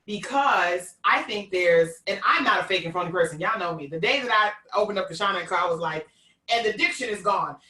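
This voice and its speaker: A woman's voice: mid-range voice, fairly quick, roomy sound, distant mic, rough recording. A woman host from New York with a Queens accent, talking candidly and animatedly on a video call. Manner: lively, candid, animated storyteller. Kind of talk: casual conversation on a video call.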